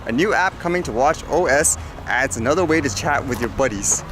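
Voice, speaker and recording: A man's voice: mid-range voice, lively intonation, a little fast, a bit bright, a little hiss or hum, poor audio. A man vlogging casually, talking through things informally and musing aloud with questions to himself and viewers. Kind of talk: casual vlog chat, reading news items aloud with comments. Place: outdoors in a windy urban area. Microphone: action camera. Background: clear background music.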